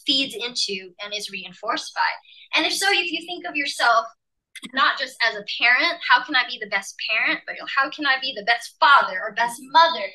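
A woman from Malaysia, a professor, leading an online teaching workshop over a video call and explaining with lively emphasis. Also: on the high side, moderate pace, low-quality recording.

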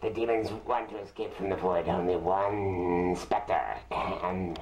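Man as a very slow, gravelly demonic herald. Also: low-pitched, darkish tone, highs cut off. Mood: ominous, menacing. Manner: slow, menacing, solemn. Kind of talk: amateur fantasy audio drama narration.

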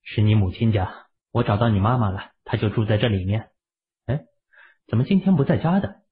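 A man voicing a calm, assured young hero. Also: fairly low pitch, a little fast. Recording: muffled phone-like audio.

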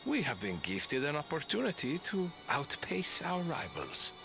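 Smug scheming game villain, drawn out swooping lines, music under it. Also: male voice, on the high side, wildly swooping pitch, a little slow, creaky, exaggerated voice. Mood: gloating. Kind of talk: video game cutscene acting.

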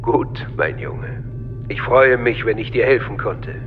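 An actor playing a suave, scheming older male chancellor in a German radio drama, speaking in a measured, courtly, unctuous way. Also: mid-range voice, narrow, tinny audio, over music, close to an everyday voice. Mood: fatherly, soothing.

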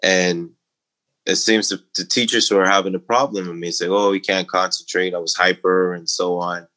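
A middle-aged man from the Bahamas with a Bahamian accent, a guest in a conversational interview, talking emphatically and climbing in pitch when he makes a point. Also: fairly even intonation, normal speed, sharp tone. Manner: emphatic, rising to a preaching lilt when making a point.

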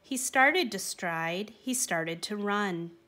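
A woman reading a rhyming children's picture book aloud, with expressive, emphatic phrasing. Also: medium pitch, slow pace. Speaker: storybook reader.

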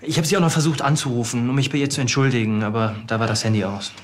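An actor playing an insolent young murder suspect in a TV crime drama, casual and defensive. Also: male voice, mid-range voice, fairly even intonation, rapid-fire, background noise, close to an everyday voice. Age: young adult.

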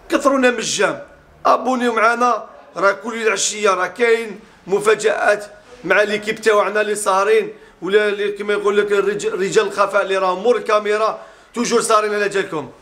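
A man in his thirties with an Algerian accent, mixing in French words as he presents a sofa set in a small furniture showroom with an upbeat, laughing sales pitch. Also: steady tone, rapid-fire, fairly bright tone. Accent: Algerian Arabic with French mixed in. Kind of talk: casual product showcase video.

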